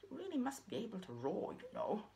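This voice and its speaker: A woman voicing a kindly lion, proud king of the jungle, gently corrective, in a puppet story. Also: mid-range voice, wildly swooping pitch, a little fast. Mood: patient.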